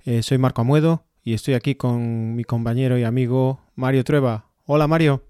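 A man from Spain co-hosting a podcast, reading a steady introduction and chatting casually. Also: mid-range voice, normal speed, clear, resonant tone, no echo, studio quality. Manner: steady, scripted-sounding intro. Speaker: podcast co-host.

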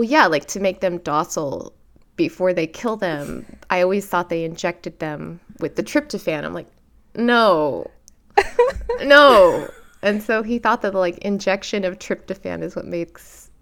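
A chatty woman host with a somewhat low voice. She tells stories eagerly and laughs often, and her talk stays bubbly. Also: expressive, unhurried. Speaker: podcast host. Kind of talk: casual podcast chat.

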